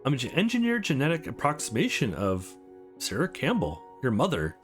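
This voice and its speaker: A man's voice: medium pitch, wildly swooping pitch, moderate pace, soft background music. A man voicing an earnest, guarded young woman in a visual novel, with a hesitant, confessional manner. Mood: confessing.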